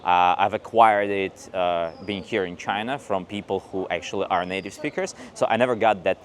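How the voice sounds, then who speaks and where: Low-voiced man from Belarus, chatty. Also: normal speed. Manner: chatty storyteller, acts out quoted dialogue. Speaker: English teacher, guest. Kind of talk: casual interview answers. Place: outdoors on a paved old street and in a cafe. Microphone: lapel mic.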